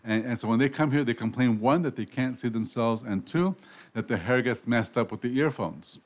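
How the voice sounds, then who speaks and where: A man's voice: medium pitch, moderate pace. A man hosting a casual radio interview show, rambling with frequent restarts and self-corrections. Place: radio studio.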